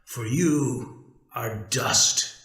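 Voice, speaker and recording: A man's voice: mid-range voice, drawn-out words, sharp tone, reverberant. A man voicing a stern, commanding God in a dramatic scripture reading, grave and emphatic as he judges. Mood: solemn judgment. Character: stern, commanding male God, solemnly judging.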